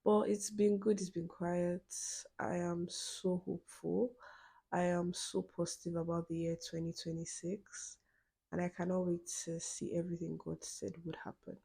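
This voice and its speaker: A young woman, hosting her own podcast in a relaxed solo chat, full of "you know" fillers and quick laughs. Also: lowish voice, gravelly, breathy edge. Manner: chatty, many "you know" fillers, laughs between phrases. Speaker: podcast host.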